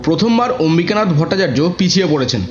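A man's voice: high-pitched, lively intonation, fast. Male horror storyteller over faint music. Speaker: narrator. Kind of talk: scripted horror story narration, in first person. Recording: dull audio with music bed.

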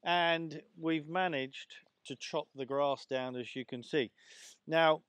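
A man's voice: a little high, takes it slowly, fairly bright tone, clear, resonant tone, no echo. A middle-aged Englishman with a chatty, rambling manner, presenting lawn care outdoors in a large garden on a lapel mic. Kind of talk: casual outdoor demonstration. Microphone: clip-on lapel mic.

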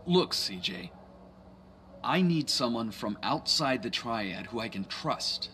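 Game cutscene Triad leader: bright, forceful voice, lively intonation, polite and formal, earnest, faint background noise. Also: male voice, mid-range voice, heavily acted. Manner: formal, polite, measured wording. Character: courteous, earnest male Chinese Triad boss. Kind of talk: video game cutscene acting.

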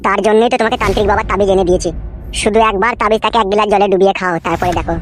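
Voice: mid-range voice, steady tone, racing, slight background noise, natural voice. A performer voicing a crude, wheedling, lovestruck younger brother-in-law in a comic cartoon. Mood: coaxing.

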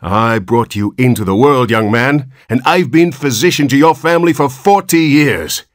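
A man's voice: fairly high pitch, very animated, normal speed, breathy edge, pitched above the performer's own voice. A man voicing an irritable, harsh old family doctor who speaks sharply and cuttingly, raised and indignant.

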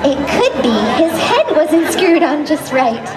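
A woman's voice: high-pitched, sing-song, measured pace, roomy sound, a loud crowd. An actress playing a cheerful little storybook girl, speaking in bubbly, exaggerated sing-song rhyme for children at an outdoor stage show. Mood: storytelling. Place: outdoor theme park stage.

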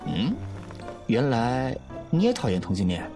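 Actor as young male college suitor, radio drama: sly, persuasive, animated mid-range voice. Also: medium pitch, measured pace, over music. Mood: wounded. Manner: coaxing, teasing. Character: sly, teasing male college student, playfully wounded suitor. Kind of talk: Chinese audio drama acting.

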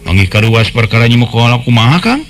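A man voicing an excitable, prying youngest brother in a village radio tale, with colloquial questioning speech. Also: mid-range voice, quick pace, close to an everyday voice. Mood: startled, prying. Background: faint music.

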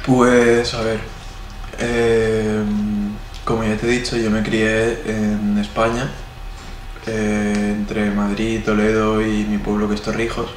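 A man's voice: fairly low pitch, completely flat delivery, slow. A man in his twenties with a Spanish accent, answering interview questions in a rambling way with many fillers. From Spain. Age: 20s. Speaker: interviewee, artist. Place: indoor room. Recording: echoey room, noisy.

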